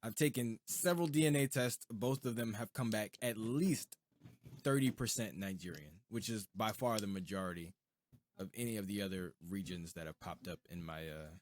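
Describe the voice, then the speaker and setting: A young man co-hosting a podcast in casual chat, rambling and quick to laugh. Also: lowish voice, a little hiss or hum. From United States. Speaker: podcast co-host.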